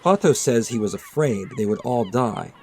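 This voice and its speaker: A man reading scripted, storybook-style narration in a measured, dramatic storytelling manner. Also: mid-range voice, lively intonation, darkish tone, slight background noise. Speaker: narrator of a manga retelling video. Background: background music.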